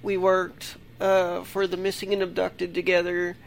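High, gravelly male podcast host. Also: completely flat delivery, a little slow, a little hiss or hum, low-quality recording. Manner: loose, rambling with fillers. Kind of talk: casual interview. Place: home desktop recording setup.

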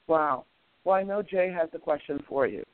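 Hoarse man, talk show host, apologetic, rambling, tinny phone line, bit high, dry. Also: expressive, normal speed, no echo. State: hoarse, lost his voice. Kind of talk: casual radio talk show.

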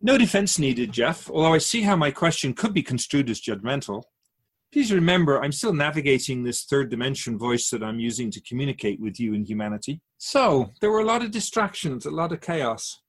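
A man playing an otherworldly, patient keeper of souls in a radio drama, asking measured, probing questions in a husky voice. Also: medium pitch, big pitch swings. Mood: patient, pointed.

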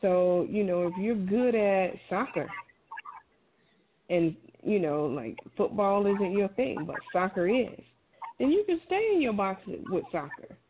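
A woman's voice: medium pitch, lively intonation, a little slow, narrow, tinny audio. Middle aged lady giving casual pep talk, emphatic and down to earth, sounds like a phone w/ background noise. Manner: emphatic, colloquial, encouraging. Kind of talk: casual conversational talk, advice.

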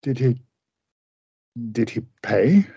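A man playing a guarded, irritable gentleman doctor in a radio play, with a husky, creaky voice. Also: medium pitch, big pitch swings, slow, dry recording, muffled recording, heavily acted. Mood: guarded, weary.

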